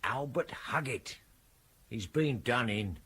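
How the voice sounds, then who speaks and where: A man playing a respectful, steady police sergeant in a radio drama, with a British accent and a matter-of-fact manner. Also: medium pitch, slow, husky, full character voice. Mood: sober.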